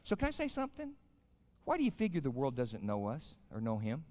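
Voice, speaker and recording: A man's voice: medium pitch, huge pitch swings, brisk, darkish tone. A man in his fifties with an American accent, preaching informally in a folksy, colloquial way, telling stories and putting questions to his listeners. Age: 50s. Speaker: pastor, guest chapel speaker. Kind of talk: informal chapel sermon with storytelling. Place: large indoor chapel, speaking to an audience. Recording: live hall with long reverb.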